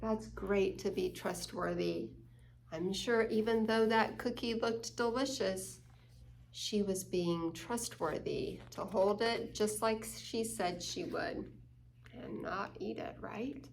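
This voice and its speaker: A woman's voice: medium pitch, slow, creaky, a little hiss or hum. A woman hosting a children's story time, reading a picture book aloud and explaining it with questions to the young listeners.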